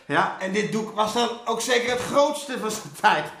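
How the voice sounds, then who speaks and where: A mocking workman in a radio play. His voice sits high and rings in an echoey space as he grumbles and exclaims. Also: expressive, moderate pace, a bit bright. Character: grumbling, joking male workman hanging a huge painting. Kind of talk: Dutch radio drama acting.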